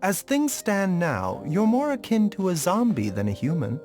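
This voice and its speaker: A man playing a calm, courteous, clinically curious doctor with a measured, formal, gently wry manner in a video game. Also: sits high, over music. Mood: composed, curious.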